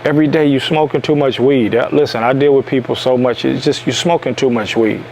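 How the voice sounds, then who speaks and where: A man from Detroit lectures his viewers in a self-help monologue, repeating key lines to drive them home. His voice sits at a middle pitch. A faint hum of background noise runs under it. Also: fairly even intonation, fairly quick, gravelly, a little mellow, rough recording. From Detroit, USA. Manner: emphatic, repeats lines for stress. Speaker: self-help video host. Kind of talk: preachy motivational monologue.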